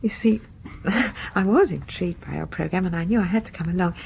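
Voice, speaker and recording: A woman's voice: on the low side, a little fast, a little mellow, slight background noise. An actress playing a shy, devoted classical music fan in a radio horror drama, a genteel, anxious British woman who speaks in a hesitant, polite, confiding way. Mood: nervous confiding. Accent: British English. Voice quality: breathy.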